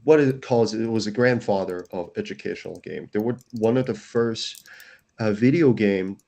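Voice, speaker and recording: Low-voiced man pitching his startup. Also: normal speed, creaky, darkish tone. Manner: earnest, with restarts and fillers. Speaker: startup founder, guest. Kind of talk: casual interview.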